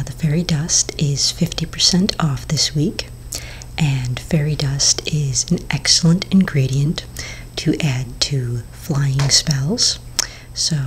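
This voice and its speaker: A middle-aged woman whispering softly as a potion shopkeeper in an ASMR roleplay, speaking slowly with many gentle fillers, close to the mic in a small room. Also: extremely low pitch, a little hiss or hum, rough recording. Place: small indoor room before shelves of bottles.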